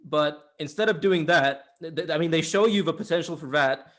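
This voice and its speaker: Male review co-host, slightly high-pitched, blunt and opinionated in loose casual discussion. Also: fairly quick, a bit bright.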